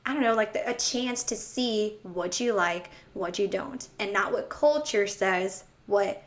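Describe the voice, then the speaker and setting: A woman's voice: medium pitch, a little slow. A woman in her twenties from Texas, a podcast guest talking casually and upbeat, rambling a bit and laughing often. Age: 20s. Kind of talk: casual conversation.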